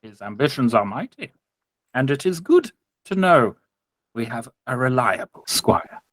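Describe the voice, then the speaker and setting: A man voicing a pompous, fawning village priest in a tabletop game, with halting, formal, unctuous speech. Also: medium pitch, sing-song, a bit bright, dry recording. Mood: reverent.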